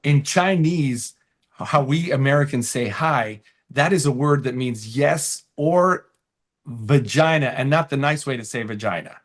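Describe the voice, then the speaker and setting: A man with a medium-pitched voice talks casually as an interview guest. He tells anecdotes like a comedian, acting out bits of dialogue and repeating words as he goes. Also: unhurried.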